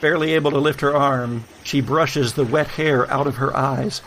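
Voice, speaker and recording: A male narrator with a creaky, gravelly edge to his mid-pitched voice tells a dramatic tale. He reads scripted story prose as a game master would, over faint background noise. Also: fairly even intonation, normal speed, fairly bright tone, rough recording.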